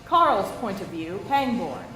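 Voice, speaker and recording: A woman's voice: medium pitch, big pitch swings, a little slow, bright, forceful tone, soft background music. A woman narrating stage directions from a script at a live table read. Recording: echoey room with faint hiss.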